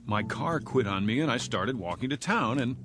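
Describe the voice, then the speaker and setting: An actor playing a bewildered man without memory in a radio drama, halting, stammering and questioning himself as he rambles. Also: medium pitch, lively intonation, noisy background, natural voice. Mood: uneasy, searching. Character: bewildered amnesiac man, rambling and nervously chatty. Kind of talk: radio drama first-person monologue.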